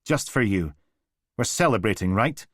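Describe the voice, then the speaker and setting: A drunken, brutal man snarls with a cruel edge and bursts into raging shouts, as a male narrator puts him on. The voice sits low when it simmers and climbs high when it roars. It sounds close and dry. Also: expressive, normal speed, slightly rough, no room sound. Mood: gloating. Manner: slurring menace rising to bellowing rage.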